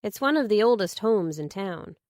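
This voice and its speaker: A woman's voice: medium pitch, expressive, moderate pace, darkish tone, dry recording, voice pushed up, natural voice. A reserved young heroine of a thriller audiobook, played by a woman narrator. She answers in an understated, matter-of-fact way, a little hesitant. The recording is dry. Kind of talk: audiobook character dialogue.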